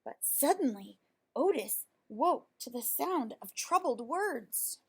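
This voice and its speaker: Female storybook reader, higher register, expressive and emphatic delivery for a young audience. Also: slow pace. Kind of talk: read-aloud children's story with dramatic emphasis.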